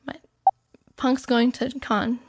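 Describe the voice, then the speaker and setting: A woman's voice: on the high side, little pitch movement, a little hiss or hum. A woman recording voice lines and repeating a tricky phrase over and over. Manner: repeats a tongue-twister line slowly. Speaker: voice actor.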